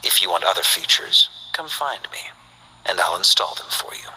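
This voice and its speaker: An actor playing a stern, principled scientist and inventor in a video game, speaking formally and precisely in a breathy voice. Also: male voice, low voice, sing-song, pushed and bright, in a whisper, exaggerated voice, poor audio. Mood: reserved warmth. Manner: formal, precise, measured diction. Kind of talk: scripted video game character voice lines.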